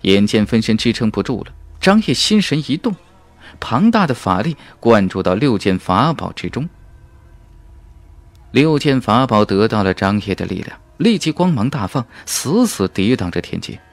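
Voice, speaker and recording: A man's voice: on the low side, sing-song, moderate pace, dry recording, soft background music. A man reading a fantasy novel as an audiobook narrator in an emphatic, suspenseful, dramatic style.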